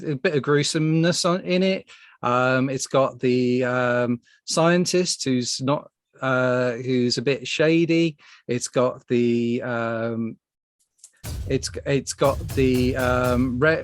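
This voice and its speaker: A man hosting a casual panel discussion, speaking haltingly and often restarting his phrases. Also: medium pitch, no room sound. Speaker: podcast host.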